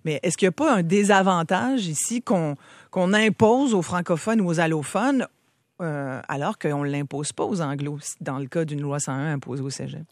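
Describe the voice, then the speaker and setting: A man's voice: fairly high pitch, normal speed, no echo, studio quality. A man with a Québécois French accent hosting a talk show in a casual, conversational way. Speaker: host.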